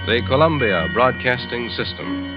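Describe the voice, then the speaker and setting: An American man giving a formal 1940s radio network announcement with deliberate emphasis, on an old recording. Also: medium pitch, lively intonation. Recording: dull vintage radio recording, music behind.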